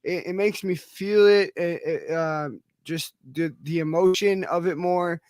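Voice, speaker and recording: A man's voice: sits high, fairly even intonation, a little slow, a little mellow, no echo, clean studio sound. A man hosting a rap cypher, giving rambling, profane feedback full of fillers and restarts. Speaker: cypher host. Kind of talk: casual chat.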